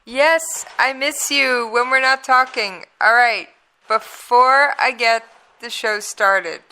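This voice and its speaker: A woman hosts an internet radio talk show in a chatty, folksy way. Her voice is bright and a little strained because she is losing it. She talks at an unhurried pace. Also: fairly high pitch.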